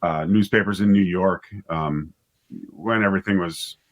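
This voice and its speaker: A man being interviewed as a writer and director, explaining things casually and haltingly, often rephrasing himself as he goes. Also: sits low. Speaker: interview guest, writer and director of audio dramas.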